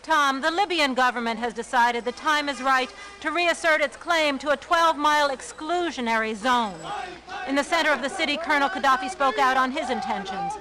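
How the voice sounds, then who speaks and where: A woman's voice: high-pitched, brisk, sharp tone, some chatter behind. A woman playing a probing television war correspondent, with projected, pointed reporting delivery. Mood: urgent reporting. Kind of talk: television news report acting.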